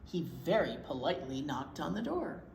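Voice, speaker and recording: A woman's voice: low-pitched, big pitch swings, moderate pace, vocal fry, darkish tone. A woman reading a picture book aloud as a children's storytime host, playfully exclaiming and commenting on the pictures as she goes. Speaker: children's librarian, storytime reader. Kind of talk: animated picture-book read-aloud with chatty asides. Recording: roomy echo with some background noise.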